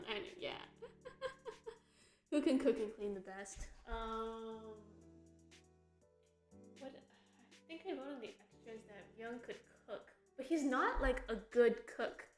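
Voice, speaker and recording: A young woman with a slightly high voice chats casually while she draws, reading out questions and laughing at herself often. Her voice rings in an echoey room, with faint music playing underneath. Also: sing-song, slow, pauses often. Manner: laughs often, self-deprecating, reads out viewer questions. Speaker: webcomic artist answering chat questions. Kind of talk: casual livestream chat. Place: home room while drawing.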